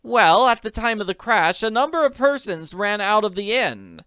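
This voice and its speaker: A woman voicing an agitated, elegant middle-aged woman in a storybook, pleading in a breathless, drawn-out way. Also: medium pitch, big pitch swings, a bit bright, clear, resonant tone, dry recording, lo-fi sound, pitched above the performer's own voice. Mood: distressed. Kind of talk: audiobook character voice.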